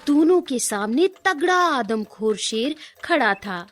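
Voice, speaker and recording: A woman's voice: sits high, lively intonation, takes it slowly. A woman narrating a scripted children's cartoon story.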